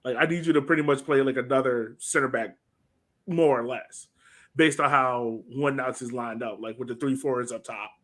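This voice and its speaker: An American man talks as a sports podcast co-host in a loose, informal chat. His pitch sits in the middle range for a man, and his wording is slangy and conversational as he breaks down play. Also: steady tone, moderate pace, a bit bright. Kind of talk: casual soccer match breakdown chat.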